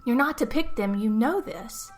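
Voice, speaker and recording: A woman voicing a worried, protective older sister in a dark fairy tale, with an urgent manner. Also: a little high, animated, moderate pace, soft background music, lightly acted. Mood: stern warning. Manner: urgent, hushed calling and stern warning.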